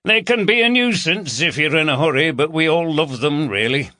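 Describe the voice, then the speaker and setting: A man's voice: fairly high pitch, animated, normal speed, bright, forceful tone, no echo, studio quality, pitched above the performer's own voice. A man voicing a jovial, teasing middle-aged Yorkshire police chief with a broad, bantering manner. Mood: hearty. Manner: hearty, bantering, broad dialect. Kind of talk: audiobook character voice.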